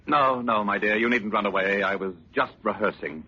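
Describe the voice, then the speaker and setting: An actor playing a sardonic, menacing crippled politician in a 1940s radio drama, mockingly polite and theatrical. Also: male voice, fairly low pitch, moderate pace, pushed and bright, breathy edge. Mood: menacing glee. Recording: muffled, noisy old radio recording.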